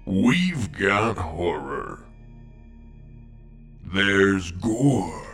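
Guy doing a horror podcast promo, super slow n dramatic, deep voice, short phrases. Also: sing-song, a bit bright, slightly rough, faint music behind. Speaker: podcast announcer.

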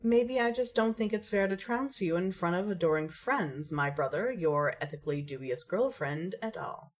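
A woman's voice: lowish voice, expressive, moderate pace. A woman playing a haughty, wordy young lady gamer with a dry, mock-formal manner in an audio drama. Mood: haughty teasing.

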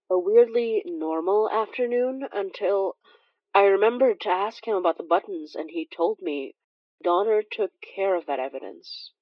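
A woman's voice: medium pitch, no echo, dull audio. An actress playing a young Filipino immigrant woman who recounts horrors in a conversational, hesitant, wry way for an audio drama. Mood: subdued. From Philippines. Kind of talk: audio drama first-person confessional narration.

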